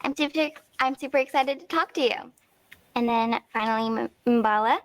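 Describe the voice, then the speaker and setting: A woman's voice: fairly high pitch, normal speed. A fourteen-year-old girl, a student co-host, moderating a panel in an upbeat, polite way. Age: teens.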